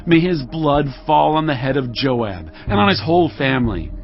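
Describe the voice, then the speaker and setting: A man's voice: a little high, animated, normal speed, vocal fry. An actor playing a solemn, righteous king who makes stern, weighty proclamations in a dramatized audio Bible. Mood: stern, cursing. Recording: slightly dull sound, music underneath. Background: background music.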